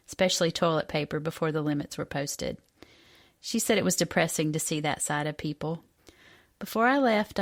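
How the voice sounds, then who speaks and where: A woman in her fifties from the United States reading a personal essay aloud as a scripted narration. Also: lowish voice. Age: 50s. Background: music.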